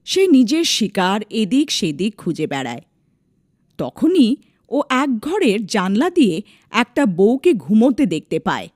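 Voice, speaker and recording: A woman's voice: a little high, sing-song, a little slow, a little mellow, no echo, clean studio sound. A woman narrating a scripted dramatic story as a storyteller.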